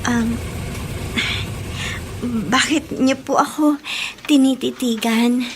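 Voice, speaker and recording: An actress playing a shy, polite young provincial housemaid in a radio drama, speaking hesitantly and deferentially. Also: female voice, high-pitched, fairly even intonation, slow, a little hiss or hum. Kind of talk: Filipino radio drama acting. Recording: music bed behind radio drama dialogue.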